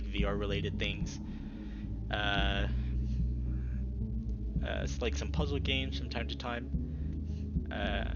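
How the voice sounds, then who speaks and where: A man's voice: mid-range voice, dull audio. A man hosting a casual livestream, talking informally and reading a visual novel aloud, sometimes hesitantly. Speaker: streamer and host, small-time DJ. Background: loud music.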